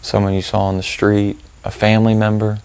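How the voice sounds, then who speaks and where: A man leading a guided meditation, giving gentle, informal instructions with unhurried pauses. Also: on the low side, completely flat delivery, creaky, clean tone.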